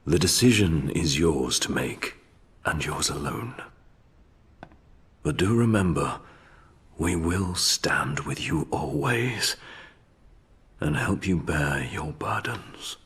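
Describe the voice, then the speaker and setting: An actor playing a grave, devoted young royal advisor in a fantasy game, speaking formally and gently in a husky voice. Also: male voice, extremely low pitch, expressive, full character voice. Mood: solemn, supportive. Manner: formal, measured, hesitant and gentle. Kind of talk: dramatic video game voice acting.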